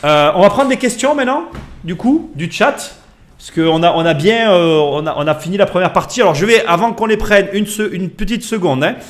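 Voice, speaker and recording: A male host running a live interview, talking in a loose, chatty manner. He hesitates and restarts often and laughs easily. His voice sits a little higher than most men's. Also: expressive, fairly quick. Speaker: podcast host.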